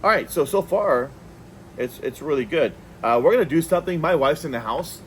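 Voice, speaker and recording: A man's voice: a little high, lively intonation, fairly quick, a little hiss or hum. A man hosting a casual, unscripted product review, talking conversationally and thinking aloud as he goes. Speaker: product reviewer, video host.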